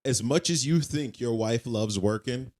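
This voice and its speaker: A man's voice: medium pitch, measured pace, darkish tone. A young man co-hosting a casual podcast, emphatic and repetitive as he gives blunt advice. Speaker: podcast co-host.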